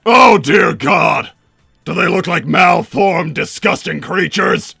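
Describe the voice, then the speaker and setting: A man's voice: high-pitched, lively intonation, slow, vocal fry, sharp tone, slightly rough, no echo, soft background music, full character voice. A man playing a boastful, gloating mad-doctor king in a theatrical cartoon roleplay, proclaiming things with bombast. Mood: exasperated. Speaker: self-crowned king and father.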